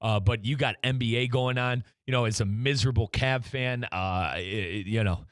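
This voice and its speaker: A man's voice: medium pitch, moderate pace, a bit bright, no room sound, studio quality. An American man hosting a sports talk show, chatty and emphatic, speaking straight to listeners. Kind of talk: conversational sports talk with live sponsor reads.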